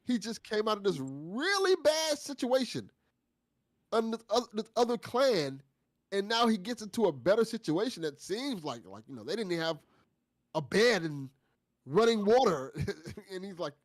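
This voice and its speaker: A man's voice: high-pitched, very animated, normal speed, no echo, studio quality. A man on a discussion panel chatting casually about a story in an informal, slangy, emphatic way. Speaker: panelist on a fiction after-show.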